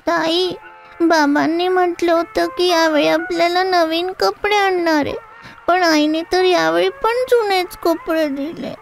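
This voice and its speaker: A little boy played by a woman in a children's story, whining and pleading in a very high, soft voice. Music plays behind. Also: steady tone, unhurried, creaky, full character voice, poor audio. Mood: tearful complaint. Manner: plaintive, pleading. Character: whining, disappointed little child, younger brother.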